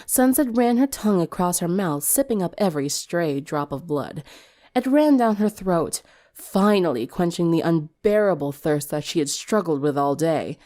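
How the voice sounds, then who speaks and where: A woman narrates a story dramatically as a fan fiction reader. Her voice sits a little low for a woman and moves through a lively, wide range. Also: moderate pace.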